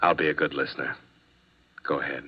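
An American actor playing a weary, wry insurance investigator in a 1950s radio drama, speaking in a terse, dry way. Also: male voice, a bass voice, fairly even intonation, a little fast, breathy edge. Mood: gentle, steady. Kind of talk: 1950s radio drama acting with first-person narration. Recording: dull vintage 1950s radio recording.

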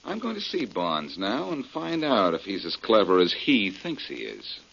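An actor playing a confident, wry detective with an assertive manner, in 1940s radio drama style. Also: male voice, deep voice, huge pitch swings, normal speed, gravelly, heavy background noise, heavily acted. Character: confident, wry male amateur detective hero. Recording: dull old radio recording.

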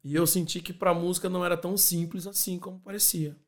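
Brazilian male musician guest, slightly high voice, informal storyteller, slangy and stop-start. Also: level tone, quick pace. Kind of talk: casual storytelling in an interview.

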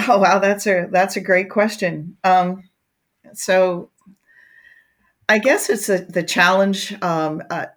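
An older woman being interviewed, speaking reflectively about her work with many fillers and restarts. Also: on the low side. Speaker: guest, education researcher. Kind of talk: conversational interview.

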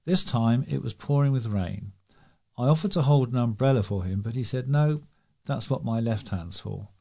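A man's voice: medium pitch, normal speed, gravelly, mellow, husky. A man volunteer reading a local news feature aloud. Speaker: volunteer newspaper reader.

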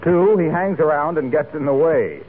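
A man with an American accent announcing and pitching a sponsor in polished, genial 1940s radio style. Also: expressive, normal speed, breathy edge. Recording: tinny old radio recording, background hiss.

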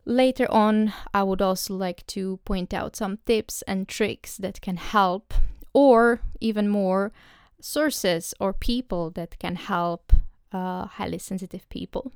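A young woman from the Czech Republic with a Czech accent, hosting her own podcast, speaking English as a second language with many pauses and restarts. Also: mid-range voice, clean tone, dry recording, clean studio sound. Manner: hesitant, many ums and restarts. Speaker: podcast host. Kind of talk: solo podcast monologue.

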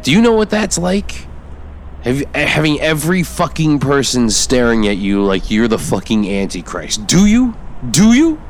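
A man's voice: mid-range voice, sing-song, normal speed, slight background noise. A man playing a bitter, resentful young man who speaks in a volatile, explosive way.

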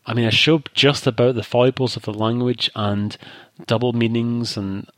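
A man's voice: mid-range voice, fairly even intonation, moderate pace, dry recording. A man co-hosting a casual chat show, talking in long thoughtful asides. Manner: discursive, thoughtful, many asides. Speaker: podcast co-host.

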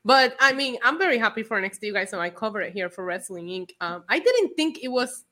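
A woman co-hosting a wrestling podcast, talking about the show in an upbeat, animated way. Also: on the high side, fast talker. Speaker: wrestling reporter, co-host. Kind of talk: casual chat.

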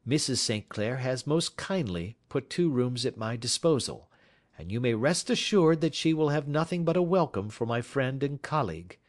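A male audiobook reader voicing a calm, reserved gentleman detective who speaks in a measured, precise way. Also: mid-range voice, voice pushed up, close to an everyday voice.

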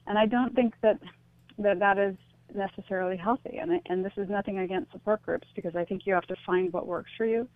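A woman's voice: mid-range voice, steady tone, fairly quick, no echo. A woman speaking as a radio interview guest in an earnest, explanatory, conversational way, over a narrow phone connection. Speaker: interview guest, advocate for the bereaved. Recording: tinny, narrow phone line.

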